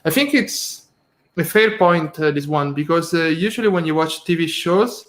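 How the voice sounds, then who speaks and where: A slightly higher-voiced man hosts a casual live stream interview. He talks in an easy, chatty way, laughs often and fills his pauses with "uh". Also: lively intonation.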